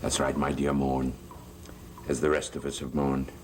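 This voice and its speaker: An actor playing a sly, condescending gentleman schemer in a gothic soap opera, mockingly polite, with music behind him. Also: extremely low pitch, completely flat delivery, normal speed, background noise. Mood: smooth, scheming. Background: dramatic music behind the voice.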